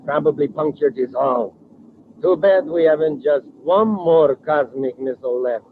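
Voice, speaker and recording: A man's voice: a little high, measured pace, a little hiss or hum, close to an everyday voice. A man playing a ruthless, scheming outlaw leader in a 1950s space radio serial, recorded with muffled sound. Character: ruthless, scheming male space villain boss. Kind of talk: 1950s radio adventure acting.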